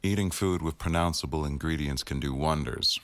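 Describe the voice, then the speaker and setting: A man's voice: rumbling low, moderate pace, a bit bright, dry recording. A man playing a stern, teasing office boss in a boyfriend roleplay audio, speaking in a wry, formal way. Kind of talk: boyfriend ASMR roleplay acting.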